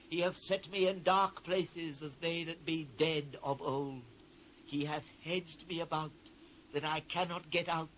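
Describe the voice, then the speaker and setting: Slow, high-pitched British prophet in lament. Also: male voice, a bit bright, low-quality recording. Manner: solemn, declamatory, measured phrasing. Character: anguished biblical prophet lamenting a fallen city. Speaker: actor reading scripture. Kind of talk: dramatised scripture reading, theatrical. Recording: faint noise, old cassette transfer.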